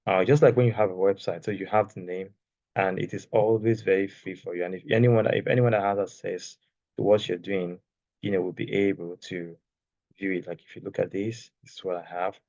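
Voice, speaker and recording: A soft-spoken man teaching a casual online class while sharing his screen, talking loosely and checking in with "okay?". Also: low voice, steady tone, fairly quick, dry recording, highs cut off. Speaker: course creation instructor. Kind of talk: screen-share tutorial, casual. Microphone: external microphone.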